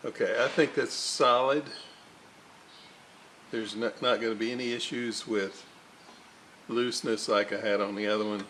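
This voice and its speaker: A man giving a casual hands-on repair walkthrough, halting and muttering to himself as he works. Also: on the low side, steady tone, fairly bright tone, a little hiss or hum, low-quality recording. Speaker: hobbyist radio restorer, presenter.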